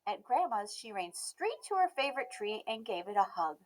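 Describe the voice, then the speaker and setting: A woman reading a children's picture book aloud as an expressive narrator. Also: fairly high pitch, normal speed. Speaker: storybook read-aloud host.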